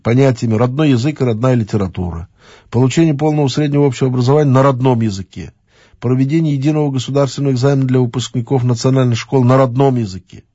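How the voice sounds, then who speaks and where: Halting official man, dark muffled voice. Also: medium pitch, no echo. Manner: halting, many fillers and restarts. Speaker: regional official. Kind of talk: official address.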